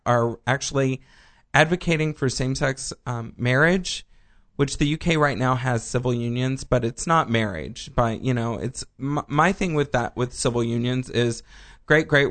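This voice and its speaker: Casting: gravelly-voiced male co-host, from Texas, loose rambling delivery. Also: medium pitch. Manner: rambling, many ums and restarts. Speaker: podcast co-host. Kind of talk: casual news chat.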